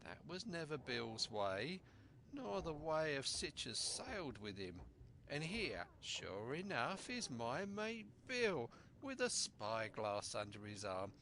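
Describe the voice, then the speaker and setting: A man's voice: mid-range voice, sing-song, takes it slowly, bright, forceful tone, a little hiss or hum, pitched above the performer's own voice, exaggerated voice. A man voicing a sly, falsely friendly pirate seaman in sing-song rustic sailor's speech for a storybook. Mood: wheedling, sly.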